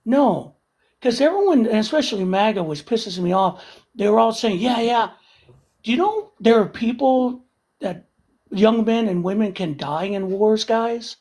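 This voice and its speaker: A man's voice: sits high, animated, unhurried, a little mellow. A man on a live podcast, ranting heatedly and with profanity about the news. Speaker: regular guest. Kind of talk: casual live-stream chat.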